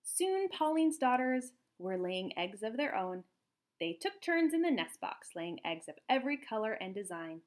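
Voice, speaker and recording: A woman narrates a children's storybook in a high voice that swings widely in pitch. She leans into key words with an expressive, emphatic storyteller's delivery. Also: moderate pace. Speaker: storybook reader. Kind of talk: animated children's read-aloud narration.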